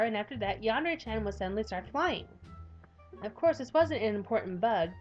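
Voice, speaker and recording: A woman's voice: fairly high pitch, muffled recording. A woman streaming a game, reading a visual novel's text aloud and reacting between passages. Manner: expressive, reads text then reacts with sighs and laughs. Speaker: gameplay streamer.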